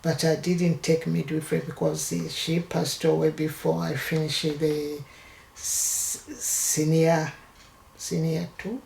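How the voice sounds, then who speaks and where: Older Ugandan woman, low gravelly voice, hesitant, slow, recorded in an echoey room; oral history interviewee. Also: level tone, a little mellow, slight background noise. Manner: hesitant, halting, with many restarts.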